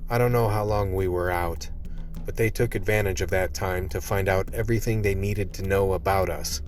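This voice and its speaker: A man as a low-voiced hardboiled survivor. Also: fairly even intonation, moderate pace, soft background music, close to an everyday voice. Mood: wry, weary. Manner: plain-spoken, hardboiled storytelling. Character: world-weary tough male survivor narrating his ordeal. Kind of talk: radio play narration and dialogue.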